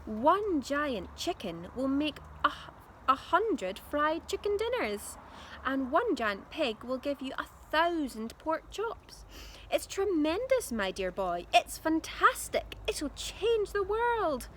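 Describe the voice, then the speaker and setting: A woman voicing an excitable, bossy, impatient father in a children's storybook, full of urgent exclamations. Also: high-pitched, slow, slight background noise, voice pushed up, full character voice. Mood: excited, urgent. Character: excitable impatient father, adult man, booming with enthusiasm.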